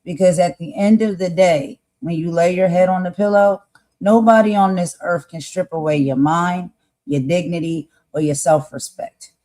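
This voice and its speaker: A man co-hosting a live stream, raspy-voiced, speaking earnestly about values and calling his audience y'all. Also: sits high, steady tone, moderate pace, dark tone. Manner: preachy, earnest, addresses viewers as y'all. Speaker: co-host. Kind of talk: casual live-stream talk.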